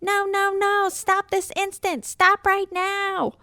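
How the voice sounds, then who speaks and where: A woman's voice: normal speed, gravelly, no echo, clean studio sound. A woman voicing a grumpy big orange male house cat in a storybook, screeching and hissing. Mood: furious. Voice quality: shrill screechy.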